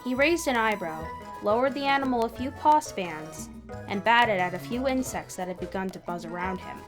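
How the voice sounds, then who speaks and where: A woman reading fan fiction aloud as an expressive third-person narrator with dramatic pauses. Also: medium pitch. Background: background music.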